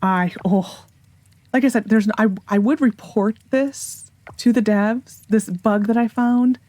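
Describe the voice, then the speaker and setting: Hoarse young woman, frustrated gameplay commentary. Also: a little high, slow pace, dark tone, dry recording. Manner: rambling, frustrated and sarcastic about the game. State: hoarse, sore voice. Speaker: gaming YouTuber.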